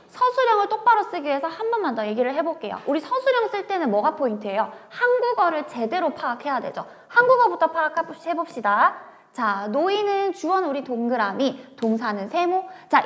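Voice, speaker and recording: A woman's voice: high voice, in a large hall. A woman teaching a grammar lecture, talking straight to her students and moving from casual talk into polite speech.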